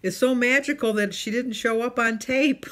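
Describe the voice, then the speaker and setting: A woman's voice: fairly high pitch, fairly even intonation, normal speed, fairly bright tone. A middle-aged woman talking casually and candidly in a personal vlog, rambling from one thought to the next. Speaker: vlogger.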